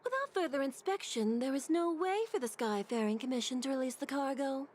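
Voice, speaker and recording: A fairly high, lively female voice for a dutiful official in a video game. She is formal and insistent, laying out rules with firm, varied emphasis. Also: brisk, a little hiss or hum.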